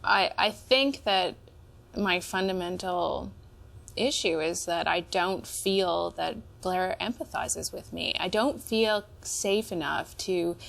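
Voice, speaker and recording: A woman speaking as a client in couples therapy, explaining her feelings in a reflective, halting way. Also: medium pitch, gravelly. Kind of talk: reflective personal conversation.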